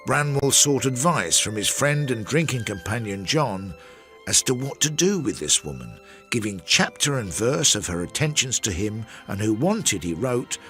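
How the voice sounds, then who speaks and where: Male narrator for radio drama: reflective Victorian investigator, low register with wide inflection, understated delivery. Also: a bit bright. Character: measured Victorian investigator recounting a failed case.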